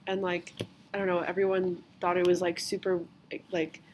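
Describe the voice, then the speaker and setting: A woman's voice: fairly low pitch, completely flat delivery, normal speed, a little mellow, a little hiss or hum, rough recording. A young woman in her twenties from the United States, hosting a casual podcast chat and filling her talk with "like". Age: 20s. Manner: says "like" constantly, loose and chatty. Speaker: podcast host.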